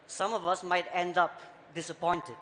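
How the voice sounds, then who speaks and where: A man with a Scottish accent softened by years abroad, giving a punchy, rhetorical conference talk in short, repeated phrases. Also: high voice, little pitch movement, normal speed. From Scotland. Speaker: conference speaker. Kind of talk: keynote talk on stage. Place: large conference hall. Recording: reverberant hall, faint background noise.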